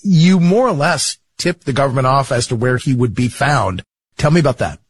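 A man hosting an interview, building long setups and slowing down for emphasis. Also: medium pitch, expressive, husky. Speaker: podcast host. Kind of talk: interview questions.

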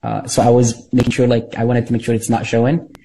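Mellow-voiced Egyptian man telling stories. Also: mid-range voice, monotone, fast, creaky, rough recording. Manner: rambling, many restarts and fillers. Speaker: guest, former intelligence operative. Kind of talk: interview storytelling.

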